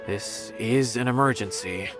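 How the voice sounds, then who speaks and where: A man's voice: mid-range voice, measured pace, close to an everyday voice. A man playing an earnest, loyal and troubled young guard in a video game, speaking emphatically. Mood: hesitant, uneasy. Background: game music.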